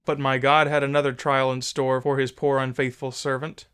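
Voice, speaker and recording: A man narrating an old memoir as a dramatic audiobook, solemn, measured and theatrical. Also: medium pitch, little pitch movement, a bit bright, clear, resonant tone.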